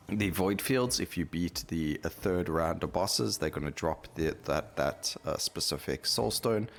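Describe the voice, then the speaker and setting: Very deep-voiced male gaming commentator. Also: fairly bright tone. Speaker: gaming video host. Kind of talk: casual gameplay commentary.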